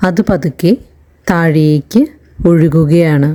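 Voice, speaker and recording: A woman leading a guided meditation in a calm, soothing narration, leaving long pauses between phrases. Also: sits low. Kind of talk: slow guided relaxation narration.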